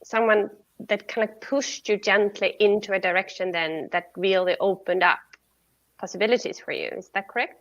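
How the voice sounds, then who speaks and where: A woman's voice: medium pitch, steady tone, normal speed, fairly bright tone. A woman from Sweden hosts an interview, asking questions in a friendly, reflective way. Her voice sits mid-range for a woman, and it comes through somewhat muffled and lo-fi. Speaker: podcast host. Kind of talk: conversational interview.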